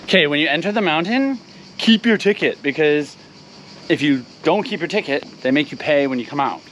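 A man's voice: a little high, expressive, fairly quick, fairly bright tone, low-quality recording. Upbeat man vlogging outdoors over traffic noise. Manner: upbeat, chatty, addresses viewers directly. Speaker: travel vlogger. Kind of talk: casual travel vlog narration. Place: outdoors in a town and on a mountain trail.

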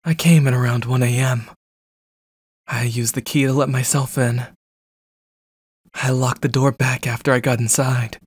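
A man's voice: medium pitch, steady tone, a little slow, long pauses, slightly rough, dry recording, clean studio sound. A man playing a jealous, controlling stalker in an ASMR roleplay, with a cold, clipped, threatening delivery. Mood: cold, menacing. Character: jealous, controlling, menacing young male stalker.

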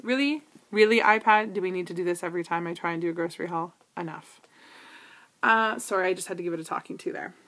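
A woman's voice: lowish voice, fairly bright tone. Chatty woman vlogging a grocery haul. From Canada. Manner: chatty, lots of ums, asides to her device. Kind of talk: casual grocery haul vlog. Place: living room with couch, indoors. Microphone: tablet camera built-in mic.

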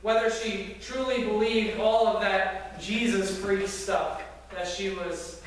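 Slow preaching man in an echoey church. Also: high-pitched, steady tone, far from the mic. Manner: earnest storytelling preaching with fillers. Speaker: pastor. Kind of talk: sermon. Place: church sanctuary with congregation. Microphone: far camera at back of church.

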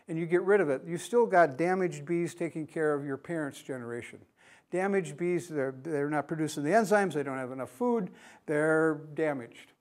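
Older man, folksy lecturer, fairly high voice, classroom talk, plain-spoken, quizzes the room. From United States. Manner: folksy, plain-spoken, asks the audience questions. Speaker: beekeeping speaker. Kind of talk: conference lecture.

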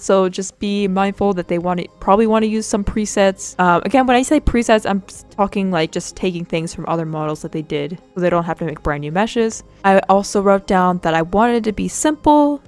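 A woman's voice: on the low side, a little mellow. A woman casually walking through her own character design work, rambling and self-deprecating, with lots of fillers. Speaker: artist and channel host. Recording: dry close mic with faint music behind.

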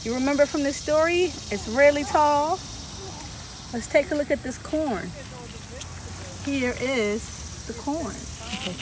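A woman in her thirties reading a picture book aloud to children and then touring a garden with them, asking lively questions. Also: high-pitched, measured pace, long pauses, rough recording. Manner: lively, talks straight to kids with questions. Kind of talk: children's story time read-aloud and garden tour. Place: outdoor garden, seated on a bench then walking among plants. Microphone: camera a few feet away, no visible mic. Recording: outdoor camera audio with steady insect noise.

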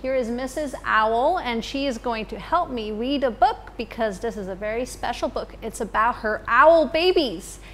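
A woman in her thirties, a teacher, reading a picture book to children in an expressive storytime manner in a school library. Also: a little high, a little hiss or hum. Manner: slow, expressive, addressing children.

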